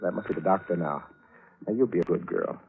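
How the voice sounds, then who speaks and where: Low-voiced watchful husband, tinny radio. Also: male voice, brisk, gravelly. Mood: soothing, evasive. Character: concerned but controlling husband, quietly watchful and suspicious. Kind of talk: 1940s radio thriller acting. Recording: tinny, hissy vintage radio recording.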